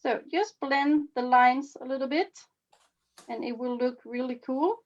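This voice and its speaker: Slow, halting Swedish-accented woman, slightly muffled. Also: sits high. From Sweden. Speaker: face painting instructor. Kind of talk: informal live demonstration with commentary. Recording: slightly dull, lo-fi webinar audio.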